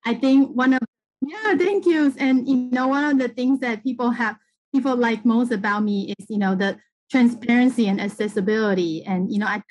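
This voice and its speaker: Higher-pitched middle-aged woman from Taiwan, halting. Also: a little mellow, no echo, low-quality recording. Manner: halting, conversational, punctuated with "you know". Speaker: political candidate, interview guest. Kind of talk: casual campaign interview talk.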